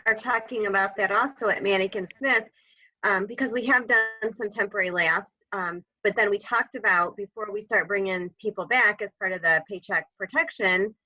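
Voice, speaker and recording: Woman, bright forceful voice, casual business discussion, band-limited dry call audio. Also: mid-range voice, moderate pace, dry recording, lo-fi sound. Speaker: HR professional on the call. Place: remote conference call.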